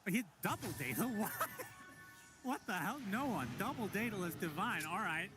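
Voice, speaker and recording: Excitable man casting an esports match. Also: sits high, normal speed, music in the background. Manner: excitable, breaks into exclamations. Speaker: esports caster. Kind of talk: play-by-play commentary.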